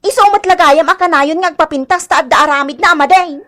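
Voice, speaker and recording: A woman's voice: very high-pitched, fast talker, fairly bright tone. A woman with an Ilocano accent playing an insistent, gossipy village woman in a radio comedy drama, exclamatory and dramatic. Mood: gossipy, scandalized.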